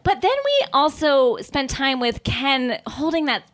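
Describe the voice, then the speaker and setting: A woman's voice: high voice, animated, unhurried. A woman hosting a podcast in casual comedic chat, gossipy and emphatic, with some swearing. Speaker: podcast host.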